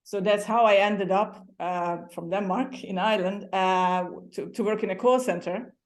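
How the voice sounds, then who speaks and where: A woman's voice: medium pitch, steady tone, normal speed. A woman from Hungary with a Hungarian accent, a guest chatting casually in an interview, laughing often and restarting her sentences now and then.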